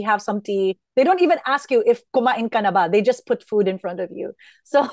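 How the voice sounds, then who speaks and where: A woman's voice: mid-range voice, no echo. A woman in her thirties, raised in Jordan, chatting as an interview guest and switching languages freely mid-sentence. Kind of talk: casual conversational interview.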